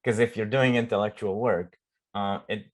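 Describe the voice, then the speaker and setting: A middle-aged man from Romania with a Romanian accent, a startup CEO chatting in an interview, often pausing and restarting as he searches for words. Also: on the low side, fairly even intonation. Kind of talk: casual conversational interview.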